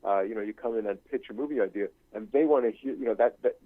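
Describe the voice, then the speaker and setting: An older man speaking as an interview guest in a reflective, conversational way, haltingly searching for his words. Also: lowish voice, no room sound. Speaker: interview guest, novelist and screenwriting teacher. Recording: thin phone-like line.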